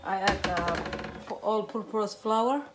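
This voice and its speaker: A woman from Somalia with a Somali accent, hosting a casual home cooking demonstration and chatting in halting English mixed with Somali. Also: mid-range voice, over loud music. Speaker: cooking channel host.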